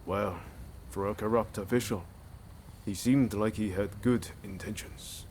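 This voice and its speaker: A man's voice: on the low side, fairly even intonation, measured pace, darkish tone, slight background noise, lightly acted. A man playing an easygoing, idealistic samurai hero in a video game cutscene. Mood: somber, doubtful.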